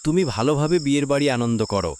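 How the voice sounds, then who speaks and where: A man's voice: mid-range voice, lively intonation, racing, a little mellow, close to an everyday voice. A man playing a dutiful young adult son, earnest and reassuring, in a horror cartoon. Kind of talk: Bengali horror cartoon dialogue. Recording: faint noise with chirping crickets.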